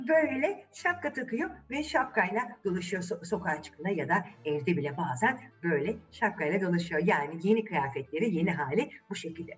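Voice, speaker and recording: A woman's voice: medium pitch, distant mic, highs cut off. A woman telling a fairy tale to children conversationally, addressing them directly with questions. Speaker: children's storyteller.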